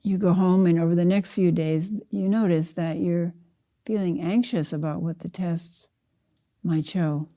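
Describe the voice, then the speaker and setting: Older female meditation guide, low and very mellow, measured phrasing with deliberate pauses. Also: fairly even intonation. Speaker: Zen teacher leading a guided meditation. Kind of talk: slow guided meditation narration.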